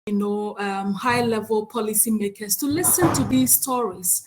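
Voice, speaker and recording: A woman with a Ghanaian accent chairs a conference session and reads out a speaker's biography, with frequent hesitant pauses. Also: mid-range voice, very noisy. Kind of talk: conference moderation, reading a speaker bio.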